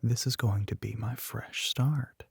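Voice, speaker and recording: A man playing a smug, teasing, telepathic young male classmate in an ASMR roleplay, with a husky, breathy, often whispered voice. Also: medium pitch, fairly even intonation, full character voice. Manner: smug, teasing, drawn-out knowing remarks.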